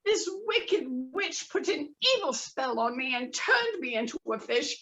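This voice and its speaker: A woman's voice: high-pitched, a bit bright, pitched above the performer's own voice, heavily acted, low-quality recording. A woman voicing a talking magic fish who begs dramatically not to be eaten. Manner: begging, dramatic.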